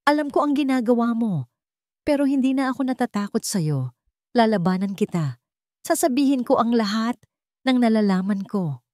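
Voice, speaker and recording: Defiant, angry male hero for radio drama, played by a woman, forceful and emphatic, pitched up in confrontation. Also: animated, moderate pace, long pauses, no room sound, clean studio sound, natural voice. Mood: angry defiance. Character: angry, defiant adult stepson standing up to villainess. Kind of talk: Tagalog radio drama storytelling.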